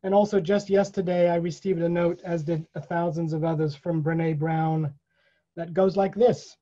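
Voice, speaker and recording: Older guy hosting an online event, lowish-key mellow voice, slow careful phrasing w pauses, muffled video call sound. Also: steady tone. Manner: measured, pausing, repeats phrases deliberately. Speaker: event host. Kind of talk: welcoming remarks with quotations at an online event. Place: small indoor room. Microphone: computer mic on a video call. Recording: dull, lo-fi video call audio.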